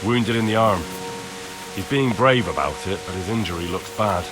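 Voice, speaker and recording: A man's voice: lowish voice, normal speed, natural voice. An actor playing an earnest, plain-spoken young medieval commoner in a video game, direct in his manner. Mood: urgent. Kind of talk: video game dialogue acting. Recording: music clearly behind the voice.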